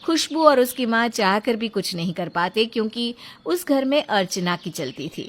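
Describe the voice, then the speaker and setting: A woman's voice: on the high side, very animated, moderate pace. A woman narrator reading a scripted bedtime moral story.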